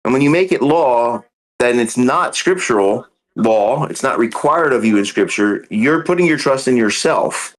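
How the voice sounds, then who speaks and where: A man's voice: mid-range voice, lively intonation, moderate pace, poor audio. A man hosting a podcast, earnestly explaining a theological point step by step, with frequent ums and restarts. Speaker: podcast host. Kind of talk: casual theological discussion.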